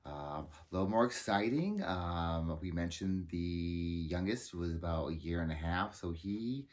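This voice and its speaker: A man in his forties from Southern California, chatting calmly on a living-room couch about his family. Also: deep voice, a little slow. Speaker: family vlogger. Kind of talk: casual chat on a couch.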